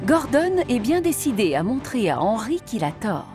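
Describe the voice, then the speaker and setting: Woman narrating a kids cartoon, highish lively voice, music underneath. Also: normal speed. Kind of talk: scripted storybook narration.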